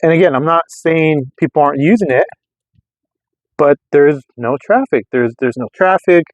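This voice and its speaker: A man's voice: fairly high pitch, normal speed, highs cut off. A middle-aged man talking casually to camera as he tests gear, rambling and circling back to restate his points. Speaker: radio enthusiast vlogger. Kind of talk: casual rambling product test talk to camera. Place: in a vehicle on a road test.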